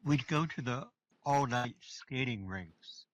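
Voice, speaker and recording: A man's voice: mid-range voice, lively intonation, slow pace, no echo, poor audio. An actor reading the part of a rueful young man in a radio play.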